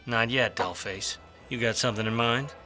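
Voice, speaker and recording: An actor playing a weary, wisecracking 1940s private detective in a film noir radio play, with a wry, slangy manner. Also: male voice, mid-range voice, moderate pace, pushed and bright, faint music behind, lightly acted. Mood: wry, hard-boiled. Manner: wry, hard-boiled, slangy.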